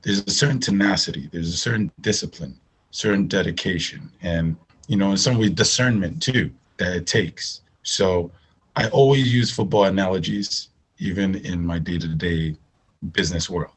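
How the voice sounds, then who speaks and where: A man's voice: low-pitched, normal speed, breathy edge, low-quality recording. A man with a Nigerian accent answering interview questions conversationally, making points with emphasis and repetition. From northern Nigeria. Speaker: podcast guest, agribusiness managing director.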